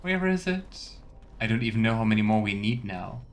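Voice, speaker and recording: A man's voice: fairly low pitch, big pitch swings, a little fast. A man giving casual let's play commentary and reading game text aloud, musing and wryly sarcastic. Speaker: game streamer.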